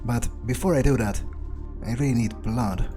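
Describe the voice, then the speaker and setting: A man voicing a cocky, vindictive vampire in a video game roleplay, halting and foul-mouthed as he taunts and threatens. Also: mid-range voice, dark tone, faint music behind, natural voice. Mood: brooding, musing. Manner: halting, self-correcting, swearing, taunting.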